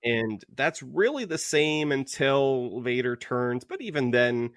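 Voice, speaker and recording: A man's voice: medium pitch, animated, slow pace, fairly bright tone, no room sound, studio quality. A man co-hosting a podcast, chatting casually and offering opinions with joking asides. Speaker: podcast co-host. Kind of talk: casual book club discussion.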